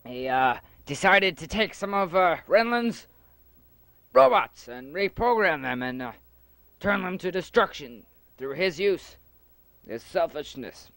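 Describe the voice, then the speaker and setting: A man doing a stern rambling elder, smooth voice, close and dry. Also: fairly high pitch, animated, a little slow, fairly bright tone, no echo, exaggerated voice. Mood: accusing. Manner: accusing, rambling with uhs. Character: Bruticus, stern male elder accusing a youth. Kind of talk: amateur audio drama.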